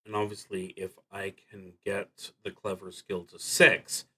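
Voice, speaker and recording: A man thinking aloud as he plays a solo tabletop roleplaying game on a stream, talking in a deliberate, halting way with dry asides. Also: low-pitched, flat delivery, pushed and bright, husky. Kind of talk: live play narration, thinking aloud.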